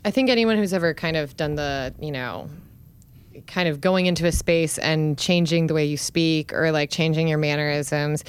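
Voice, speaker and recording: A woman with a notably low voice chatting casually on a podcast. She leans on "like" and "you know" as she thinks aloud, in a relaxed, conversational way. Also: smooth. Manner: chatty, lots of "like" and "you know", quick to laugh. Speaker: journalist, podcast guest.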